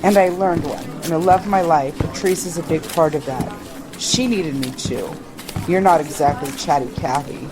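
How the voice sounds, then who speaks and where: An actress playing a deferential but candid woman hacker in an audio drama, careful and quietly firm. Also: deep voice, moderate pace, over music, lightly acted, low-quality recording. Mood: quietly defensive.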